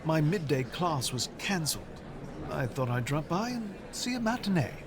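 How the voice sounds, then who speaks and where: An actor playing an eager, friendly teacher in an audio drama, who talks in an excitable way. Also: male voice, fairly high pitch, moderate pace, background noise. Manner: excitable, lively. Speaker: teacher who likes opera.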